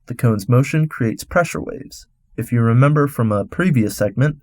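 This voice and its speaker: Male radio host for scripted educational segment. Mid-range pitch, instructional step-by-step delivery. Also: no echo, studio quality. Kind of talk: scripted explanatory narration.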